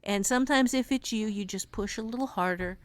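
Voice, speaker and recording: A woman co-hosting a podcast, giving casual advice in a deliberate, emphatic way. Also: medium pitch, no room sound. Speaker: podcast co-host and writing teacher.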